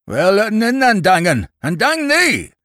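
A big, surly Yorkshire farmer in his middle years. He growls harshly and curtly in a raised pitch that swings with menace, close and dry as in an audiobook. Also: huge pitch swings, unhurried, a bit bright, dry recording, full character voice. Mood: impatient, angry. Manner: gruff, curt, threatening.

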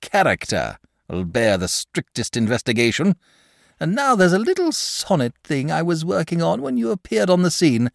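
A man's voice: a little high, wildly swooping pitch, moderate pace, vocal fry, no room sound, studio quality, voice pushed up, exaggerated voice. A man voicing a huge, mild, lazy dragon in a storybook, with a genteel, chatty and sociable manner. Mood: solemn, earnest. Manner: genteel, chatty, bashful, eager to please.

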